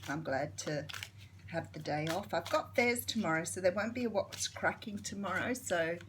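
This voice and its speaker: A middle-aged Australian woman with a slightly croaky morning voice, chatting casually through a tarot reading with lots of fillers. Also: fairly low pitch, expressive, moderate pace, a little hiss or hum. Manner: chatty, friendly, filler-heavy. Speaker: tarot reader.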